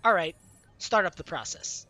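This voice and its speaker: A man's voice: sits high, big pitch swings, normal speed, pushed and bright, slight background noise. A man reading a visual novel aloud, narrating in the first person with light laughs. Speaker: let's-play reader.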